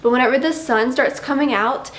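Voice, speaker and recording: Young woman, bubbly chatty vlogger, a bit nasal, roomy echo. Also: high-pitched. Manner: bubbly, chatty, drawn-out emphasis. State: slightly nasal from congestion. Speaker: lifestyle vlogger. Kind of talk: chatty vlog talk to camera.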